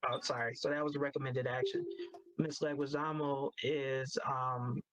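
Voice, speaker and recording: A man chairing a board meeting, reading motions and calling for votes in a halting, procedural way. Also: medium pitch, level tone, background noise.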